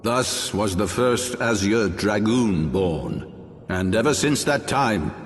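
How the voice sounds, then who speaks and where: A proud, calculating old archbishop holds forth in a fantasy game. His voice sits on the low side and moves with grave, formal deliberation. It rings through a long reverberant hall, with music underneath. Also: animated. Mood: grave, measured. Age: older. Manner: grave, measured, formal archaic oratory. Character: solemn aging archbishop, proud, calculating father. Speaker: voice actor. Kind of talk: dramatic fantasy game acting. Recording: long cavernous reverb, orchestral music behind.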